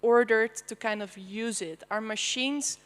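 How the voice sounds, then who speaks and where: Dutch woman, artist-presenter, higher voice, casual and rambling, lecture hall reverb. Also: unhurried. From Netherlands. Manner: loose and chatty, many fillers and restarts. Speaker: artist presenting her research. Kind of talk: panel presentation.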